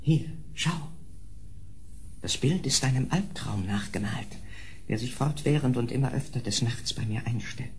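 An actor playing a tormented, melancholy last heir of a decaying noble house in a horror audio drama, agitated and theatrical. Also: male voice, mid-range voice, measured pace, close to an everyday voice. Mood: haunted, uneasy. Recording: faint noise on old audio drama.

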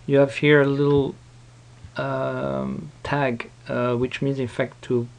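Slow, hesitant man with creaky voice. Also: mid-range voice, level tone. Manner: hesitant, halting explanation. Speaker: software tutorial presenter. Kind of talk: casual unscripted screen-recording walkthrough.

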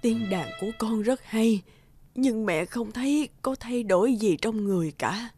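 A woman playing a frail, gentle old mother in a fairy-tale cartoon. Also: a little high, a little slow, soft background music, natural voice. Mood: weary, sad.

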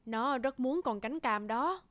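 A woman voicing a gentle, courteous young mother in a cartoon folktale, coaxing and kindly. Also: a little high, lively intonation, unhurried, dry recording. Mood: polite request.

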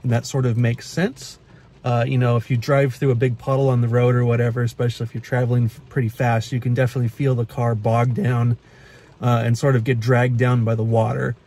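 A man's voice: mid-range voice, level tone, fairly quick, mellow. Chatty man talking from his car. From Pacific Northwest. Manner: chatty, matter-of-fact, many uhs and restarts. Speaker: car vlogger. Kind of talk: casual vlog talk to camera. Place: inside a parked car at night. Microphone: phone camera at arm's length.

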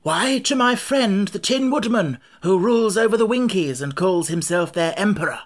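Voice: medium pitch, animated, normal speed, voice pushed up, lightly acted. A storybook reader voicing a genial, self-important scarecrow king who speaks in a pompous, declamatory way. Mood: measured, resolute.

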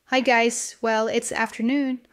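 A woman's voice: on the high side, level tone, a little slow, smooth, lightly acted. A woman playing a young student confiding a horror story to her video diary in a dramatic way. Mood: casual, tired. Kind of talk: first-person horror audio diary.

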